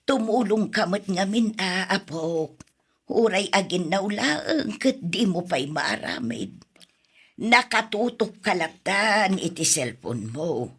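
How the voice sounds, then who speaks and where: A woman's voice: medium pitch, expressive, slightly rough, exaggerated voice. A woman acting an exasperated, nagging but caring older grandmother in an Ilocano radio drama. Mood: gentle admonishing. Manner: nagging, sighing, drawn-out pleading.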